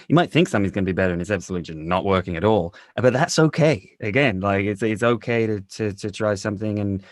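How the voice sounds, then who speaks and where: A man with a fairly low voice reflects conversationally in a dry-sounding recording. He rambles and halts, doubling back on his words as he goes. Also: no echo. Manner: halting, repeats words, rambling. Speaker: interview guest.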